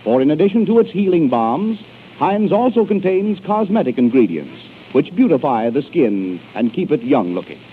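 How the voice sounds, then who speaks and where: A man's voice: on the high side, sing-song, normal speed. A man working as a radio announcer, reading advertising and introductions in a polished, declamatory 1930s style. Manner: polished, declamatory sales delivery. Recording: narrow-band hissy 1930s radio broadcast.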